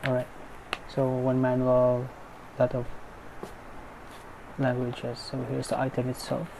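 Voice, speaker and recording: A man's voice: medium pitch, completely flat delivery, takes it slowly. A man giving casual, unscripted unboxing commentary, thinking aloud in a tentative way. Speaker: unboxing video maker. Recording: clearly noisy background.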